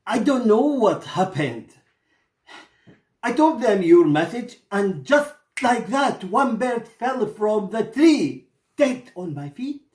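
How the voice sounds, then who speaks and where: Man acting a very slow, dramatic, remorseful merchant. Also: sits high, very animated, a little mellow, heavily acted. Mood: evasive, baffled. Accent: Iraqi Arabic. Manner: dramatic, lamenting. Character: grieving, remorseful male merchant, bird owner. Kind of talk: theatrical storytelling. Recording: echoey room.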